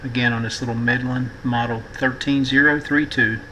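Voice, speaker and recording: A middle-aged man giving a casual electronics tutorial in a halting, thinking-aloud way, as the host of a repair video. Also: mid-range voice, steady tone, sharp tone, poor audio. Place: indoor workbench. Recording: clear background noise.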